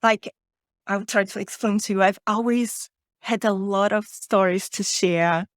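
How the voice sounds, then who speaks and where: A woman's voice: mid-range voice, steady tone, unhurried, dry recording, studio quality. A woman from Brazil with a Brazilian accent and Portuguese as her native language, talking conversationally as a guest in an interview. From Rio de Janeiro, Brazil. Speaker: podcast guest, author and podcaster.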